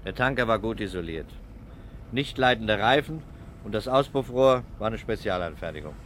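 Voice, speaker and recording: A methodical male investigator speaks in a lowish voice with cautious, deliberate understatement. It is a sixties radio crime play, with some noise behind him. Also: animated, a bit bright. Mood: measured. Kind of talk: 1960s German radio crime drama acting.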